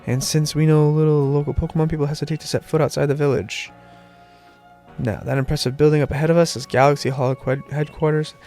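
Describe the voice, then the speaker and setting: A man's voice: fairly high pitch, steady tone, fast, a little mellow, faint music behind, natural voice. A sick, nasal man reading aloud the lines of a polite, formal male professor in a video game. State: sick, congested.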